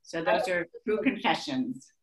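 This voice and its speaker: A woman's voice: on the low side, animated, unhurried. A middle-aged woman with an Israeli accent, a guest author in an online interview, who talks with many restarts and laughs easily. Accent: Israeli-accented English. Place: home room with bookshelves. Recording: echoey room.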